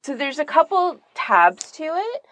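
A woman's voice: high-pitched, very animated, measured pace, a bit bright, no echo, rough recording. A woman explaining things in a casual podcast chat, informally and with plenty of filler words. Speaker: podcast guest and volunteer archivist.

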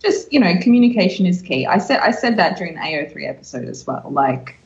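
A woman's voice: fairly low pitch, very animated, fast talker, a little mellow, dull audio. A woman co-hosting a podcast in casual chat, recorded with a roomy echo. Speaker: podcast co-host. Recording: roomy, echoing sound.

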